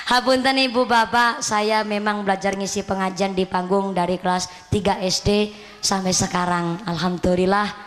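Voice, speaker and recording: A young Javanese-accented woman gives a sermon on stage, talking easily and joking with her listeners. Her voice, neither high nor low, carries through a reverberant space, with faint noise underneath. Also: little pitch movement, normal speed, fairly bright tone. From Central Java, Indonesia. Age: 20s. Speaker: Islamic preacher. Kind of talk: lively stage sermon to a village audience. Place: outdoor stage at a Quran graduation event.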